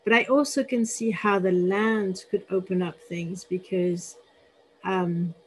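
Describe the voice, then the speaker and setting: A woman's voice: medium pitch, steady tone, a little slow, a little hiss or hum, poor audio. A woman hosting a conversational interview, asking questions and sharing her own reflections. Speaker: interviewer, host.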